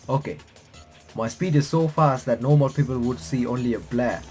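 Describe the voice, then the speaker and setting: Halting male visual novel reader over music. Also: medium pitch, a little mellow. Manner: halting, stumbles over words and restarts. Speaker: playthrough host reading and reacting to a visual novel. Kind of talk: reading game text aloud with casual asides.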